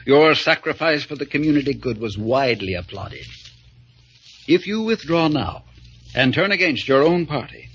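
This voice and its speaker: An actor playing a pompous, scheming veteran small-town politician in an old-time radio drama, speaking in oily, formal, grandiloquent phrases. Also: male voice, fairly high pitch, expressive, normal speed, a bit bright, slight background noise. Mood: smug, persuasive. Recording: dull lo-fi old radio recording.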